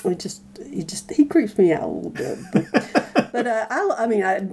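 A woman's voice: on the high side, sing-song, moderate pace, darkish tone. A woman chatting casually as a podcast guest, informal and a little hesitant. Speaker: guest co-host. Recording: noticeable background noise.

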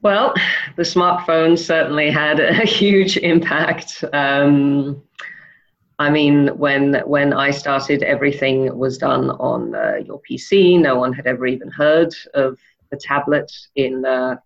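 A woman from Denmark giving thoughtful interview answers, pausing often with ums and uhs. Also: rumbling low, steady tone, gravelly, lo-fi sound. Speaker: interview guest, e-commerce manager.

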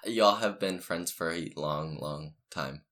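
A man's voice: deep voice, slow pace, sharp tone. A man hosting a podcast in a casual, joking way, with a scratchy throat from the early hour. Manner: laid-back, joking, giggly. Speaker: podcast host.

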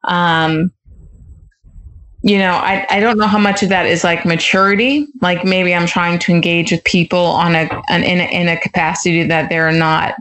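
Young woman guest on a podcast, low flat voice, lots of ums, picks her words slowly. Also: rough recording. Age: 20s. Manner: hesitant, searching for words, many fillers. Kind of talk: casual conversation.

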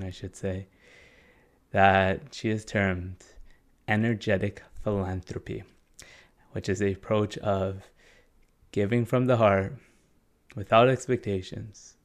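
A man hosting a spiritual podcast, speaking in a measured, earnest way with drawn-out phrases. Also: fairly low pitch. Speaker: podcast host. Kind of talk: spiritual podcast intro and interview.

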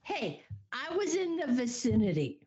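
A woman's voice: high voice, sing-song, a little slow, a little mellow, lo-fi sound, faint music behind. A woman in her seventies co-hosting a podcast, giving short wry replies in casual chat. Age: 70s. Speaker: podcast co-host.

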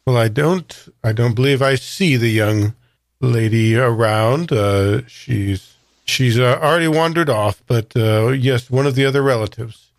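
A man acts a stuffy old bookish character in a tabletop roleplay. The voice is middle-pitched, formal and measured, with halting starts, recorded very dry. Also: no echo, natural voice. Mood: evasive.

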